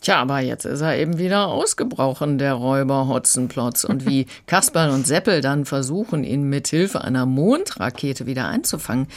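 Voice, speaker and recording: A woman audiobook critic on a radio review show, calm and measured as she recommends titles. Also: a bass voice.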